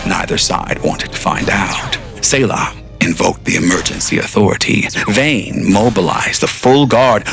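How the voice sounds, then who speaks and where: Brief: low-voiced male narrator, slow and measured, expressive pitch, faint music underneath, audio drama. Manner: measured, grave storytelling.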